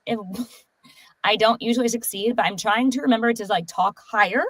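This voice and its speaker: A woman's voice: fairly high pitch, fairly bright tone, dry recording. A young woman, a singer guest on a live streamed interview, talking in a bubbly, enthusiastic way. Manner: bubbly, enthusiastic, quick to laugh. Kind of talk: casual interview chat. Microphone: remote streaming call mic.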